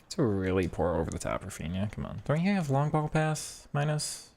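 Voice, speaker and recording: A young man with a hoarse voice, chatting casually about football tactics and reacting to his own play while gaming. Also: mid-range voice, big pitch swings, fairly quick, background noise. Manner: thinking aloud, reacting to play. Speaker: gaming streamer. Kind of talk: casual gameplay commentary.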